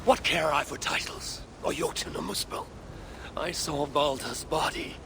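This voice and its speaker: An actor playing a proud, wrathful elder god-king in a video game, gravelly and grandly archaic in his speech. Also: on the high side, unhurried, noisy background, close to an everyday voice. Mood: brooding. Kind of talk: dramatic video game acting.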